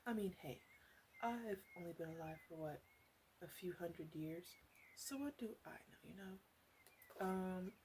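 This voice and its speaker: Woman playing a slow, softly teasing witch. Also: on the low side, animated, slight background noise. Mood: gently concerned. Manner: hesitant, halting, softly teasing, often dropping into whispers. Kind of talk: ASMR roleplay.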